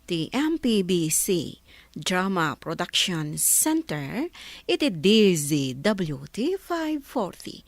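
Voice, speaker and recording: Female radio drama host, Ilocano accent, unhurried scripted delivery with big melodic swings, dry sound. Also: mid-range voice, no room sound.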